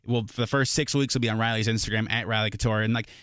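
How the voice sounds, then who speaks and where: Fast-talking male radio host, dry studio sound. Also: on the low side, steady tone, fairly bright tone, no room sound. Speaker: morning radio show host. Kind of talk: casual radio chat. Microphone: close studio mic.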